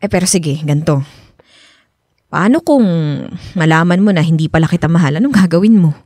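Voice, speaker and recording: A woman's voice: on the low side, lively intonation, brisk, darkish tone, no room sound, studio quality. A woman acting a young, defensive but loving girlfriend in a Filipino radio drama, emotional and conversational. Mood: soft, apologetic.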